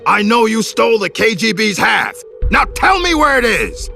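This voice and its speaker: A man's voice: high voice, sing-song, normal speed, creaky, bright, forceful tone, faint music behind, full character voice. A man voicing a cruel, gloating officer in a video game, with a theatrical, sneering and menacing delivery. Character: cruel gloating male intelligence officer interrogating a captive. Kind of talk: dramatic video game voice acting.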